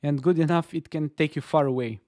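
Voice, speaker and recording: A man's voice: fairly high pitch, brisk, darkish tone, no room sound. A man from Romania with a Romanian accent, co-hosting a casual game-development stream, talking with frequent fillers and restarts. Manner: hesitant, many fillers and restarts. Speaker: stream co-host. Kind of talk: casual chat. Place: Stockholm.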